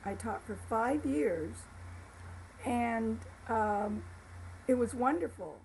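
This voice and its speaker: Brief: older woman, 70s, gravelly and slow, homespun life-story testimony. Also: on the high side, lively intonation, low-quality recording. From Florida, USA. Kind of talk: personal testimony to camera.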